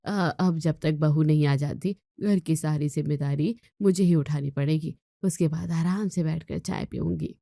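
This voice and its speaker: A woman voicing a weary, indulgent middle-aged mother in a moral story, speaking in a soft, placating way. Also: sits low, moderate pace, creaky, few pauses, no room sound, clean studio sound. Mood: weary, defensive.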